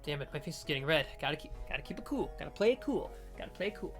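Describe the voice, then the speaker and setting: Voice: mid-range voice, very animated, very fast, faint music behind, pitched below the performer's own voice. A game player voicing an awkward, easily flustered teenage boy in a hesitant, stammering way. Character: Nick, awkward easily flustered teenage boy, recent high school grad. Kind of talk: visual novel voice-over, dramatized reading.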